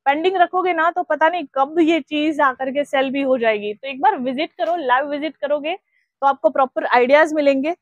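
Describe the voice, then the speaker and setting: A woman in her thirties giving a persuasive sales pitch on a tour of a large clothing store, listing her stock one item after another. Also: moderate pace, no room sound, highs cut off. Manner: persuasive, listing wares steadily. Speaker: shop representative.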